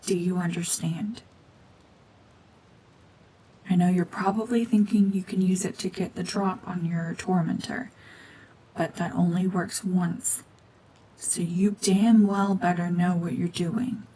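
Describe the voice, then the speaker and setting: A woman acting a cold, domineering mutant witch in an ASMR roleplay drama, hushed and often whispering, with terse, guarded commands. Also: fairly low pitch, steady tone, measured pace, slight background noise, close to an everyday voice, low-quality recording. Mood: stern. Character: renegade mutant cyborg gorgon witch, female, cold, domineering, slowly softening.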